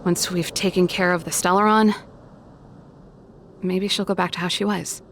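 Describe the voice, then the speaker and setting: A woman's voice: fairly low pitch, steady tone, normal speed, slight background noise, natural voice. A woman playing a confident, theatrical rebel performer in a video game, assured and eager for battle. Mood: determined, showy. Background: game music.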